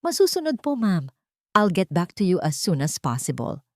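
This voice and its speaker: A woman voicing a polite, eager young female executive assistant in a storybook drama, pitching her voice up. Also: big pitch swings, moderate pace, dry recording, clean studio sound.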